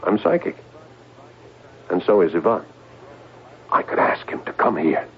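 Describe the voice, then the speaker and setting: An actor playing a desperate, paranoid psychiatric patient in a radio drama, halting and agitated, repeating himself and pleading. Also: male voice, low-pitched, sing-song, slightly rough, full character voice. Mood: haunted, intense. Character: desperate paranoid male psychiatric patient, convinced aliens threaten mankind. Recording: narrow tinny old radio broadcast, hiss.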